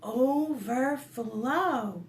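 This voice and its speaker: High-pitched woman reading a storybook, unhurried. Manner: expressive, with dramatic gasps and whispered asides; gently addresses child listeners. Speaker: children's librarian reading at preschool storytime. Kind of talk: animated picture-book read-aloud for young children.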